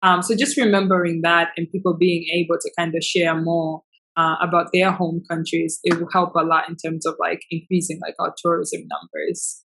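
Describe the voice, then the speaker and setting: A woman's voice: on the low side, level tone, normal speed, creaky, fairly bright tone, poor audio. A young woman from Tanzania, a business founder, talking casually in an interview with many fillers and restarts.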